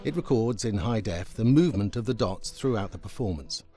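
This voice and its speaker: Low, lively man narrating a documentary. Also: fairly quick. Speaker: game executive creative director. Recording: music bed behind narration. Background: background music.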